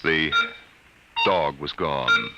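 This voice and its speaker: A man narrating a vintage radio drama in a grave, theatrical, deliberate manner. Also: rumbling low, lo-fi sound, heavy background noise, full character voice. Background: music.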